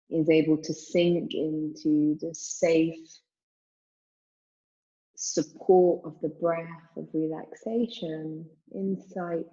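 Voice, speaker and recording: Very slow, low-voiced woman guiding meditation. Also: highs cut off. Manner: calm, soothing, measured. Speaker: yoga nidra meditation teacher. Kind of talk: guided meditation, spoken slowly with long pauses.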